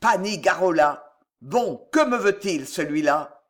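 Man playing a gruff, indignant count, high-voiced. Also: lively intonation, slow, a bit bright, full character voice. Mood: grumbling. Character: rough, suspicious young count, distraught and demanding. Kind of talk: dramatic audiobook character voice.

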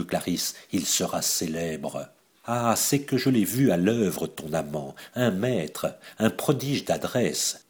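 A man's voice: sits low, husky. Man voicing a sneering cruel woman villain. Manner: cold, cutting, sneering. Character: cruel scheming woman villain, furious and cold.

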